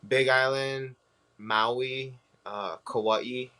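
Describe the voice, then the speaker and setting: A man's voice: medium pitch, fairly even intonation, very slow, sharp tone, smooth. A young man from Hawaii, a podcast guest talking in a laid-back, slangy way with lots of fillers. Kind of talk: casual chat.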